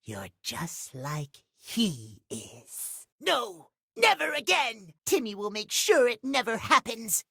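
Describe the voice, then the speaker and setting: A small but menacing video game villain, in a high, androgynous voice that swings widely in pitch. He is boastful, possessive and resentful, taunting at a slow, deliberate pace. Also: huge pitch swings, vocal fry, pushed and bright, slightly rough, dry recording, studio quality, full character voice. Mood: defiant, angry. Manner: speaks of himself in third person, menacing, taunting. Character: sinister, possessive hidden clock alter ego, boastful and resentful. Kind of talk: video game character acting.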